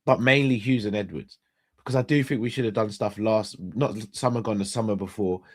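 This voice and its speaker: A young man hosting a casual live-stream chat, hoarse and congested, talking straight to his viewers and often restarting his phrases. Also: on the low side, brisk. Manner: chatty, addresses viewers directly, restarts phrases. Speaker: host.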